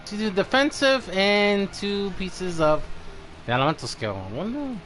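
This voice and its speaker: A man's voice: high voice, big pitch swings, normal speed. A man commentating casually on a live game stream as its host, swearing often. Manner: frequent swearing. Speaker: streamer reviewing game characters.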